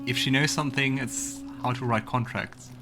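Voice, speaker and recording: A man's voice: medium pitch, fairly even intonation, normal speed. A man hosting a let's play, musing aloud in casual game commentary.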